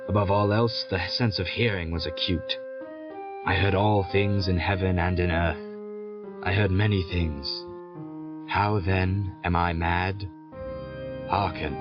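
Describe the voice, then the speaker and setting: A nervous madman in a gothic audio drama, his voice very low and his delivery slow and intense. He dwells on words and repeats them with obsessive emphasis. Music plays prominently behind the slightly muffled recording. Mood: nervous, insistent. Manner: deliberate, intense, halting, emphatic repetition. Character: nervous, obsessive madman insisting on his sanity, confessing murder.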